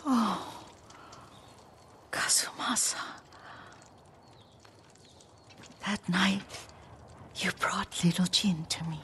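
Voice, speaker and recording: An older woman acting a frail, confused, tender old servant in a game cutscene, with a breathy, halting, wistful delivery. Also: medium pitch. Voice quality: breathy, whispery. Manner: halting, wistful, softly trailing off. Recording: music behind the voice.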